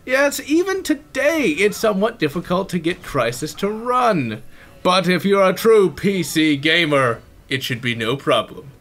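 A man's voice: high-pitched, sing-song, unhurried, fairly bright tone. A man delivering a scripted video game review as a voice-over, opinionated, with theatrical stress on key words.

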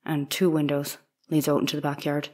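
A woman's voice: sits low, monotone, fairly quick, warm tone, breathy edge. A woman playing a plain, guarded young domestic servant testifying in a dramatic trial reading, giving terse, deferential answers. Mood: plain, unsure.

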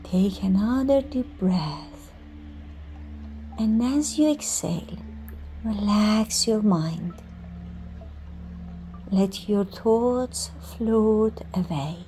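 A woman guides a scripted meditation at an extremely slow pace. She gives soothing instructions in short phrases, leaving pauses between them. Music plays clearly behind her voice, which sits at a medium pitch. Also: warm tone.

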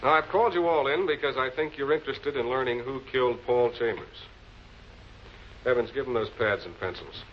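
Male prosecutor played by a male actor, vintage crime drama. Authoritative, deliberate delivery, dull noisy film audio. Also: mid-range voice, natural voice. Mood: commanding. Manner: measured, commanding, deliberate. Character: composed, authoritative male public prosecutor cornering murder suspects. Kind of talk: 1940s television crime drama acting. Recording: muffled, noisy old film soundtrack.

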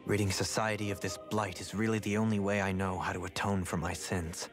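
An actor playing a guilt-ridden, gentlemanly young noble with formal, measured, grave diction in a dramatic video game. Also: male voice, sits low, level tone, music in the background, natural voice. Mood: grave, remorseful.

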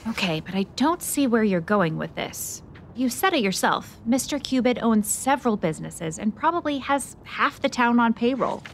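A woman's voice: a little high, a little hiss or hum. A woman playing a plucky, self-assured investigative reporter in a scripted radio drama, inquisitive and theatrical.

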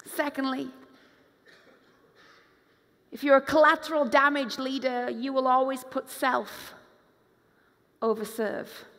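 Woman, pastor, high voice, echoing hall, lively sermon. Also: slow, long pauses. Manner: animated preacher, acts out quoted voices, moves around the stage. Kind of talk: conference sermon. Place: large dark stage with hall reverb. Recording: long hall reverb.

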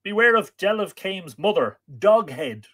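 Man voicing a queenly, pleading woman with animated delivery. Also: medium pitch, a little slow. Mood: urgent pleading. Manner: imperious, tender, dramatic. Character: queenly, pleading woman warning her lover of dangers.

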